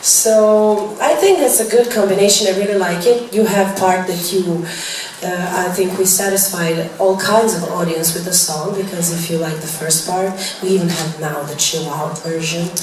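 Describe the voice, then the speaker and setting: A woman's voice: fairly low pitch, steady tone, moderate pace, a little mellow. Chatty woman in echoey room. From Serbia. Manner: chatty, laughing, apologetic, with many fillers. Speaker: singer answering press. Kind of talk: press Q&A chat. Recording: echoey noisy room, far from mic.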